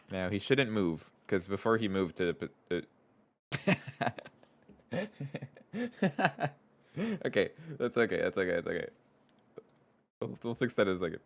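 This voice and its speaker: A man with a stuffy nose, streaming live as a game developer, chatting casually, reading chat aloud and thinking out loud as he codes. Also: low voice. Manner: thinks aloud, reads chat aloud, trails off and restarts.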